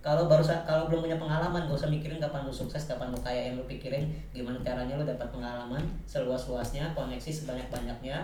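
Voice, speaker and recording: A man in his twenties with a Jakarta accent speaks rapidly in casual slang, giving emphatic advice and circling back to repeat it. His voice sits at a middling pitch. He is heard from a distance in a very reverberant room. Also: level tone, dark tone. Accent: Jakarta Indonesian. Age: 20s. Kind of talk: casual conversational talk, motivational advice. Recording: echoey room, distant mic, faint noise.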